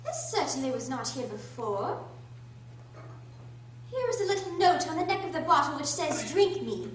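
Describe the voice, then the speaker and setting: A woman's voice: high-pitched, sing-song, moderate pace. A woman playing a curious, fretful little girl who thinks aloud theatrically in a radio drama. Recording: roomy, distant, faint noise and hum.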